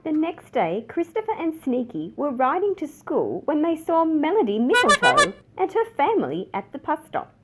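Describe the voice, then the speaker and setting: A woman reading a picture book aloud to children, expressive and engaging the listener as she goes. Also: sits high, moderate pace, hardly any pauses, music in the background. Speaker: children's story reader.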